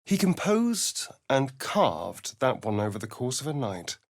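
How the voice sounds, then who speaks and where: Sly vampire rogue, very animated pitch, dry close sound, arch, sardonic, video game character. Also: male voice, a little slow, no room sound. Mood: dramatic, hushed. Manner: arch, flamboyant, sardonic, theatrical delivery. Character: sly, vain, theatrical male vampire spawn rogue. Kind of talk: video game voice acting, dramatic lines and narration.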